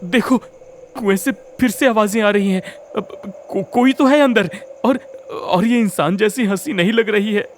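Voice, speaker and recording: A man playing a timid, easily frightened village husband in an animated story, with a hesitant, stammering, dramatic delivery. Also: high-pitched, unhurried, slight background noise. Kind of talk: animated Hindi story dialogue.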